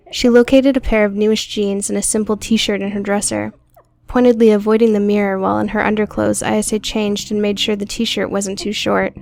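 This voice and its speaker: Woman reading an audiobook story, creaky fry in her voice, faint guitar music behind. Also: mid-range voice, a little mellow. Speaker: audiobook narrator. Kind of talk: scripted fiction narration.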